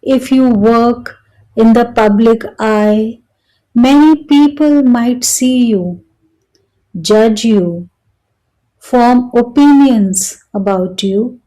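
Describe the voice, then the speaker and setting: A woman guiding a meditation in a calm, soothing manner, speaking in short phrases with long pauses between them. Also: fairly high pitch, rough recording. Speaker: meditation guide. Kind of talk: slow guided meditation narration.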